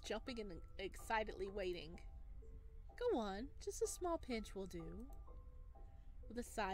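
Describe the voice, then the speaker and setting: A woman reading a visual novel aloud and commenting on it in a gushing, excitable way. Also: high voice. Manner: gushing, excitable over cute things. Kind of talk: dramatic read-aloud with excited playthrough commentary. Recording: background music behind voice.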